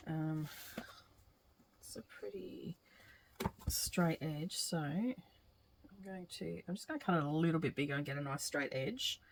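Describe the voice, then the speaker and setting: Middle-aged woman, lower voice, slightly croaky, rambling craft-vlog host with a faint noise floor. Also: fairly bright tone. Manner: chatty, thinking aloud with many ums. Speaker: quilting channel host. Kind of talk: casual craft vlog narration while working.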